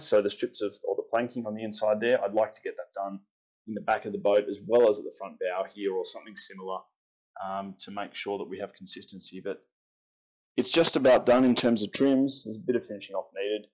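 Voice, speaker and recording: A young Australian man giving a relaxed, chatty walkthrough of his timber boat, pointing out features as he moves through the cabins. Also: fairly low pitch, rough recording. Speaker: boat owner giving a tour. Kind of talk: casual walkthrough tour. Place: inside a timber boat's cabins.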